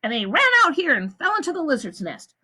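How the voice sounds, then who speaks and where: A woman reading aloud, voicing a defensive, lying camp warden in a high, indignant voice. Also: sing-song, quick pace, pushed and bright, heavily acted.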